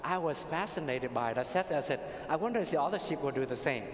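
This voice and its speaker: A middle-aged man with a Vietnamese accent, a priest preaching a sermon in an unhurried storytelling way, with pauses. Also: a little high, lively intonation. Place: large cathedral. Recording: echoey cathedral with long reverb.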